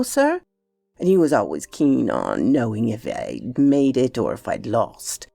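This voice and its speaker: A woman's voice: sits low, vocal fry, mellow, dry recording, heavily acted. Woman voicing a deferential, confiding manservant. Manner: respectful, confiding, reverent. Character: deferential, devout male servant, loyal and confiding.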